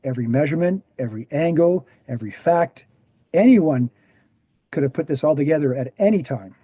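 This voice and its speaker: A man's voice: a little high, expressive, a little fast, dark tone, husky. A man reading the part of a confident, didactic explainer in scripted dialogue. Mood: assured. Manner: didactic, assured. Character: Gary, confident explanatory man debunking assassination myths. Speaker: actor in a screenplay table read.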